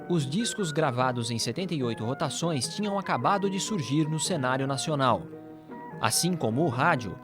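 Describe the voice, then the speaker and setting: A man with a Brazilian accent reads scripted documentary narration. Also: a little high. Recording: music playing behind the voice. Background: background music.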